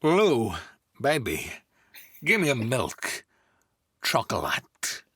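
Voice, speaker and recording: A man voicing an awkward, nervous, eccentric oddball in a comic table read, with halting delivery and odd stresses. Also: medium pitch, huge pitch swings, a bit bright, breathy edge, no echo, clean studio sound, full character voice. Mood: oddly deadpan.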